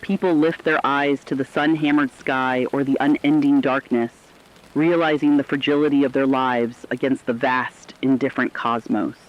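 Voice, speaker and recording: A man's voice: medium pitch, level tone, normal speed, muffled recording, close to an everyday voice. A man playing a solemn radio correspondent, reflective and formal, in a scripted catastrophe drama.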